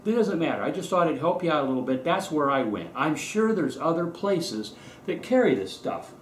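Middle-aged American man, higher-than-average voice with lively inflection, conversational how-to presenter walking through steps. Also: moderate pace. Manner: conversational, step-by-step explaining. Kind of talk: casual explanatory demonstration. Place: indoors in a home garage workshop. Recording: echoey garage with background noise.

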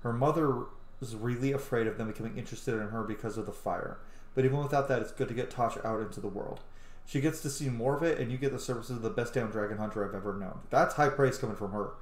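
A man in his thirties doing informal, sweary game commentary, thinking out loud and reading text aloud. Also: mid-range voice, fast talker. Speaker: gaming video host. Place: small room at home, indoors. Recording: roomy, echoey home recording.

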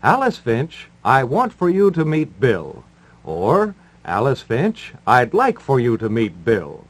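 Lively deadpan 1950s radio man. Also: mid-range voice, slow. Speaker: radio host and announcer. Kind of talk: 1950s radio patter, mock-serious advice and live ad reads.

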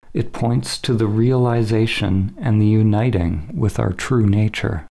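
A man narrating a scripted spiritual meditation as a teacher, in measured, deliberate phrases with short pauses. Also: lowish voice, gravelly, mellow.

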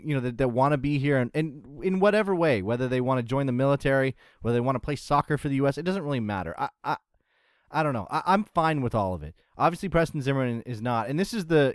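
A man's voice: medium pitch, dry recording. A young adult man co-hosting a podcast, arguing his point emphatically with many restarts, on a studio mic in a small room. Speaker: podcast co-host. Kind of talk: casual opinion chat on soccer. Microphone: studio mic on arm, headphones.

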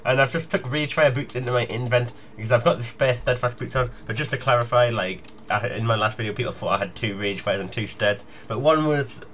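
A man's voice: medium pitch, a little fast, few pauses, fairly bright tone, a little hiss or hum. A young British man giving casual, chatty gameplay commentary in an informal way. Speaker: gaming video creator.

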